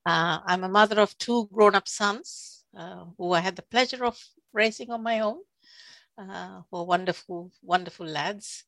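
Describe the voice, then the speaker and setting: A woman's voice: mid-range voice, normal speed, creaky, fairly bright tone, no echo. A middle-aged woman from Sri Lanka, a church administrator, who answers interview questions in a thoughtful, hesitant way with many fillers. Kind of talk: conversational interview.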